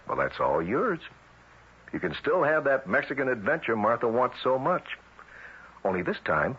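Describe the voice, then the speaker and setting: A man's voice: medium pitch, husky, full character voice. An actor playing a tough but reassuring government lawman in a radio drama, wry and easygoing. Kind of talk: 1970s radio drama acting. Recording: muffled, hissy old radio broadcast.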